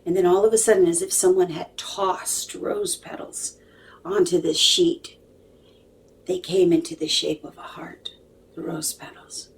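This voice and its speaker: A woman's voice: fairly low pitch, unhurried, gravelly, in a whisper, poor audio. A woman telling a personal story as a psychic medium, dramatically and emphatically, repeating phrases for weight.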